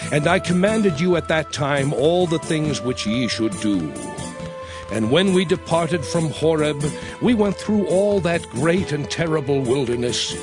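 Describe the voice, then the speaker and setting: An actor playing a commanding prophet who addresses his people in solemn, declamatory dramatized scripture. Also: fairly high pitch. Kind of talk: dramatized scripture oration, King James English.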